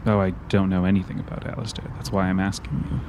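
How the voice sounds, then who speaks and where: A man's voice: sits low, flat delivery, quick pace, very noisy, close to an everyday voice. A man playing an awkward, analytical man who speaks in stiff, formal sentences in a tabletop roleplaying game. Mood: dry, sincere.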